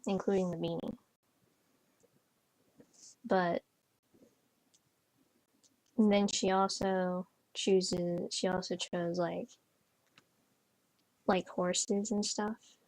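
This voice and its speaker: A woman's voice: on the low side, flat delivery, drawn-out words, pauses often, a little mellow, no echo, rough recording. A young woman chatting casually while playing a horse game, rambling with lots of fillers and restarts. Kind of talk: casual gameplay chat. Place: bedroom, on a bed.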